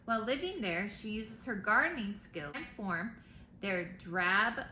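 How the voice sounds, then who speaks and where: A woman teacher reading a picture book aloud to children, pausing for simple explanations and questions. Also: mid-range voice, animated, bright, forceful tone. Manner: simple explanations and questions addressed to young listeners. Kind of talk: read-aloud storytime with explanatory asides. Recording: roomy echo, faint background noise.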